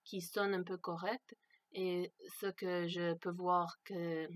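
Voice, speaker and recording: A woman's voice: fairly low pitch, little pitch movement. A woman for whom French is not her first language, speaking unscripted to camera, hesitant and searching for words with many fillers. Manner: slow, thoughtful. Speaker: vlogger. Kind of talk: unscripted personal talk to camera.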